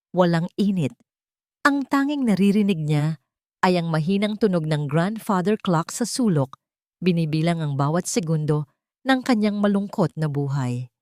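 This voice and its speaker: A woman with a Filipino accent narrates a scripted story in a measured, sentimental, dramatic way. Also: on the low side, a little mellow, no echo, studio quality. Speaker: narrator of a romance story channel.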